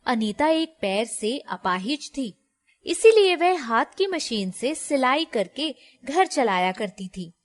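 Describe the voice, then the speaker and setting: A woman narrates a moral tale from a script. Her voice is fairly high, and she speaks as a storyteller. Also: very animated, slow.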